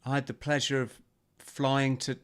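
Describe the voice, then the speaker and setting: A man's voice: medium pitch, completely flat delivery, normal speed, smooth. A man hosting a podcast interview, chatting casually and hesitantly with many restarts. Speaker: podcast host.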